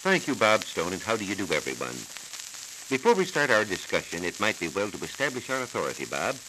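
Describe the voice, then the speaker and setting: An American man working as a science reporter, giving a formal, measured, scripted explanation in 1940s radio style. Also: lowish voice, very animated. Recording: hissy, crackly 1940s transcription disc.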